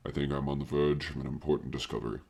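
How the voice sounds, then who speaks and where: Very deep-voiced, solemn psychic investigator. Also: male voice, fairly even intonation, rapid-fire, creaky, mellow, heavily acted. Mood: intent, grave. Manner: formal, solemn, precise, pompous. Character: grave, self-important male doctor investigating psychic phenomena. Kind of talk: audio horror drama, formal field report.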